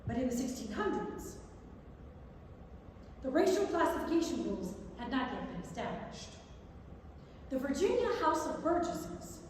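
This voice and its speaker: A woman's voice: sits high, darkish tone, a little hiss or hum. A woman giving a staged reading of non-fiction prose, recorded from far off in an echoey theatre. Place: large indoor theatre.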